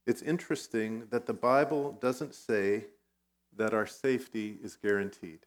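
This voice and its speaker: A middle-aged man who grew up in Vietnam, a guest pastor giving a conversational sermon with personal stories, now and then clearing his throat. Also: on the low side, fairly even intonation, unhurried. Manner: conversational, self-deprecating storytelling.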